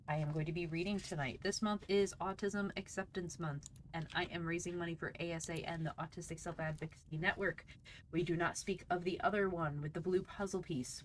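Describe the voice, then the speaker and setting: A woman's voice: fairly low pitch, a little hiss or hum. A woman hosting a casual livestream, chatting to viewers in a bubbly, enthusiastic manner. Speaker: livestream host, VTuber; teacher.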